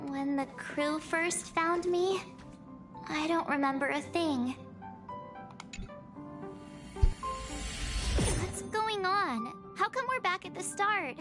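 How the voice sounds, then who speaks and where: A woman voicing a perky, stubborn young girl adventurer in a video game, with an expressive girlish delivery. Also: extremely high pitch, takes it slowly, over music. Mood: bewildered. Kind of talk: English video game voice acting.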